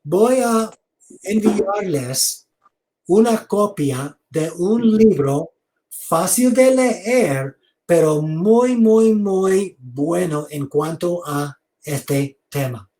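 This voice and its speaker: A man giving an online theology lecture over a video call, speaking slowly, repeating words and inviting questions. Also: sits high, animated, warm tone. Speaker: seminary lecturer.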